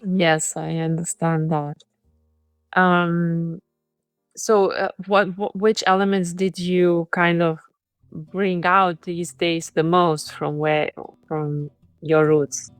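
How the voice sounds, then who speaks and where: A woman's voice: lowish voice, level tone, slow pace, clean tone, no echo, soft background music. A woman hosting a podcast, eagerly introducing her guest and asking long, winding questions. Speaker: podcast host. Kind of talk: interview hosting.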